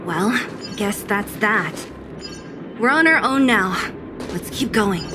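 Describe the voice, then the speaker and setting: Bold, animated young fighter. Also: female voice, medium pitch, takes it slowly, heavy background noise. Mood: bold, wary. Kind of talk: video game voice acting.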